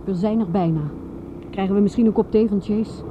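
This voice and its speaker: A woman plays a practical, composed detective's sidekick in a radio play. She has a mid-pitched voice and a matter-of-fact, slightly dry delivery. The sound is somewhat muffled. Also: fairly even intonation, fairly quick, noisy background, close to an everyday voice. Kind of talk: radio drama acting. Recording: dull, muffled radio recording.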